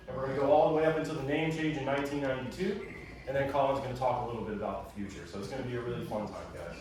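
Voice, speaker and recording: A man giving a lively museum talk with slides to an audience, chatty and energetic. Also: mid-range voice, slight background noise. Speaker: museum staff presenter. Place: meeting room with audience. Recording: echoey room, far from mic.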